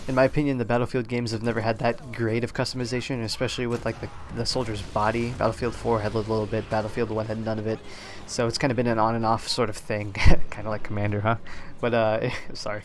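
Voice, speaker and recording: A slightly hoarse man giving casual solo commentary on a video game reveal, rambling, often correcting himself and admitting he is unsure. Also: fairly low pitch, level tone, fast, slight background noise. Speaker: gaming commentator.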